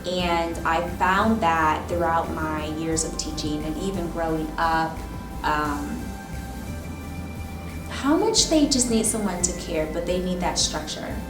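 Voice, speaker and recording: A woman in her thirties, a teacher, reflecting thoughtfully in an oral history interview, often pausing with "um" and "you know". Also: sits low. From Michigan, raised in Ohio. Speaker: teacher and instructional coach. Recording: roomy, distant mic with music behind.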